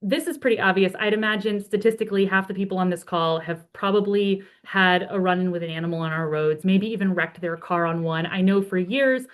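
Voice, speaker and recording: A woman presents over a video call, friendly and chatty, leaning on ums and uhs as she goes. Her voice is slightly hoarse from a cold, at an ordinary middle pitch. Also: brisk, hardly any pauses. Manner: friendly, talks freely with many ums and uhs. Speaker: conservation advocate presenting a report. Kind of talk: webinar presentation.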